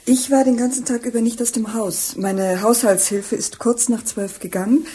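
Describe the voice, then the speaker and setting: A respectable, guarded wife in a radio crime play. She speaks with restraint in a mellow, slightly husky voice. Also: a little high, steady tone, moderate pace. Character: subdued, respectable married woman giving an alibi. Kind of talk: German radio crime drama acting.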